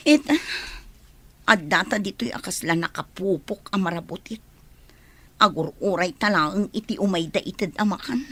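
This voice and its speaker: A woman with a husky voice and a Filipino accent playing a remorseful, worried prisoner in a radio drama. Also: mid-range voice, normal speed, fairly bright tone, dry recording.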